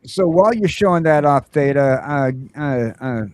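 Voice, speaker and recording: A man hosting a casual geeky chat podcast, talking in halting bursts full of fillers and restarts. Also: fairly high pitch, lively intonation, clear, resonant tone, no echo. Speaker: podcast host.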